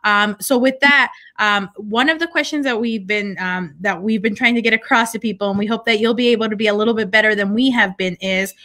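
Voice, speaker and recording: A woman interviewer from an advocacy group, asking questions quickly with many fillers. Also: mid-range voice, sharp tone.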